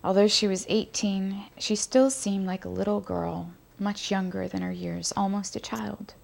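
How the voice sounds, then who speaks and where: A woman's voice: mid-range voice, level tone, unhurried, gravelly, darkish tone. A woman reading literary narration aloud as an audition piece. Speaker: actor auditioning.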